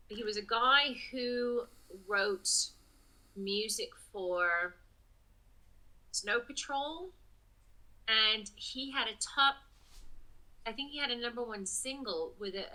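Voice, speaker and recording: Bright-voiced chatty woman guest. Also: mid-range voice, low-quality recording. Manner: chatty, laughs often, clears throat. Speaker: musician guest. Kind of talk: casual podcast interview.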